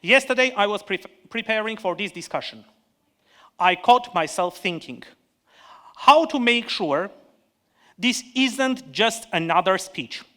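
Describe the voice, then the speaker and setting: Bright-voiced man with an Armenian accent. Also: high-pitched, animated. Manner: measured, earnest, with restarts and pauses. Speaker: panel speaker, economist. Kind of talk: prepared conference speech.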